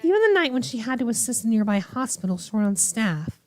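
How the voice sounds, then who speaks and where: A woman voicing a soft-spoken, coldly obsessive young female killer from a visual novel, measured and sinister. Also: on the high side, very animated. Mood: feigned remorse. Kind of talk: visual novel character reading.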